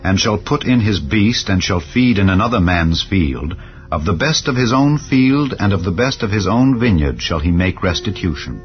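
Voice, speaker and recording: A man narrating scripture in a solemn, measured, declarative manner, as in a dramatized Bible reading. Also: low voice, expressive, dull audio. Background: clear background music.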